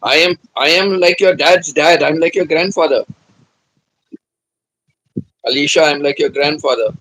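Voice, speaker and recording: A man in his seventies hosting a live stream, chatting gently and repeating himself, humming between topics. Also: on the high side, moderate pace, rough recording. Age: 70s. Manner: hums to himself between chats. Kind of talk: casual live-stream chat.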